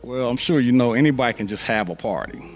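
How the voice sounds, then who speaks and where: A middle-aged man from Louisville, Kentucky, speaking in a measured, conversational way as an interview guest. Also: mid-range voice, expressive, faint music behind. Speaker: interview guest, event planner. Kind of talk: casual interview.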